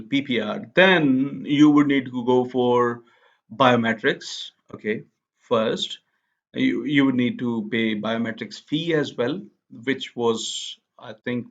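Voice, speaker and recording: A man's voice: mid-range voice, vocal fry, a bit bright. A young man, an Urdu speaker, explaining a process step by step in a casual tutorial, often checking in with "okay". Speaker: immigration explainer. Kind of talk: casual screen-share tutorial. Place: small indoor room. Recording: slightly dull lo-fi sound.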